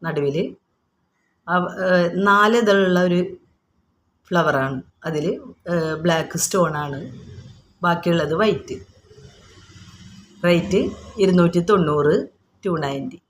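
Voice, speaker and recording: A woman's voice: fairly low pitch, takes it slowly, long pauses, a little hiss or hum, rough recording. A woman with a Kerala accent informally showing products item by item, explaining each and repeating prices in an unscripted, repetitive way.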